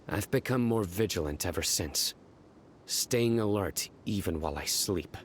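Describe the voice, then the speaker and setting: A stoic young male investigator with a deep, low voice. He speaks in terse, measured, deadpan sentences, even when he warns of danger. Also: a little hiss or hum. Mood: stern. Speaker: stern law enforcer.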